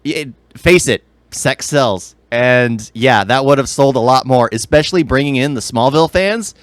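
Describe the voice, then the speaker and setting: A man's voice: mid-range voice, unhurried, no echo. A man co-hosting a podcast, chatting casually about films in a wry, opinionated, conversational way. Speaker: podcast co-host.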